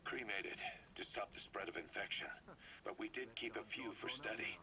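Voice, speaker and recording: A man's voice: fairly low pitch, normal speed, bright, forceful tone, a little hiss or hum, poor audio. An actor playing a wary male military commander in a video game, speaking in a clipped, businesslike way.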